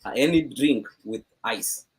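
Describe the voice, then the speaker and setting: A man's voice: medium pitch, a little slow. A man hosting a live stream in casual chat, upbeat and often repeating words.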